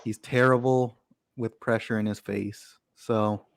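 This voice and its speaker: A man's voice: lowish voice, steady tone, gravelly, dry recording, clean studio sound. A man hosting a sports podcast, talking football casually with lots of "you know" fillers. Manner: conversational, uses "you know" fillers, quick to laugh. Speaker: podcast host.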